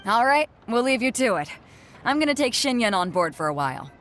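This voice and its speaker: A woman's voice: on the high side, very animated, a little fast, fairly bright tone, slight background noise. A woman voicing a confident, hearty female sea captain, blunt and folksy, in a game cutscene. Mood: warmly assured.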